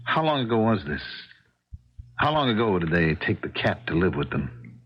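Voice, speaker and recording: A man acting in an American 1970s radio drama as a puzzled, practical-minded skeptic with a husky voice and halting questions. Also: low-pitched, big pitch swings, dull audio.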